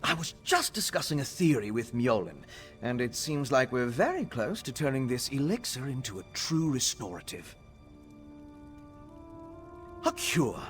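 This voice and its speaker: A learned alchemist scholar in a fantasy video game, explaining his theories in a measured, earnest way. His voice rises and falls a great deal, mid-pitched, with faint music behind. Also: a bit bright. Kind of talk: studio video game voice acting.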